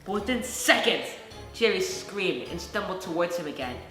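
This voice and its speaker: A woman narrating a children's bedtime story in an expressive, dramatic way. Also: lowish voice, normal speed. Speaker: storyteller reading a children's book. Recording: very echoey room, music underneath. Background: music.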